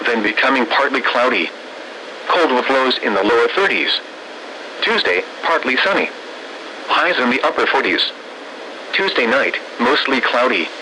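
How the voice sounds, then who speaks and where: A synthetic male voice at medium pitch reads a weather bulletin. The tone is bright and crisp-edged, with formal, measured phrasing. It comes over a radio broadcast with faint background noise. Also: rough recording. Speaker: automated weather radio announcer. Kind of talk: scripted weather bulletin read-out.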